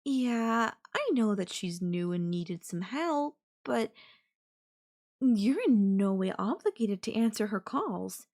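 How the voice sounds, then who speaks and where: A woman playing a clingy, possessive girlfriend who feigns sweet innocence in an audio roleplay, speaking coyly and hesitantly with hums and pauses. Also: mid-range voice, very animated, darkish tone, exaggerated voice. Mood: jealous, pouting. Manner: hesitant, drawn-out, coy delivery with hums and pauses. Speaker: voice actor. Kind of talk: girlfriend audio roleplay, one side of a call.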